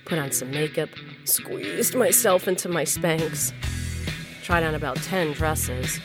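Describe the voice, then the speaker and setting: A middle-aged wife and mother with a distinctly low voice, wry and a little wounded. She narrates her own audio drama in a sardonic way over faint music. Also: takes it slowly. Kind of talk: first-person audio drama narration and dialogue.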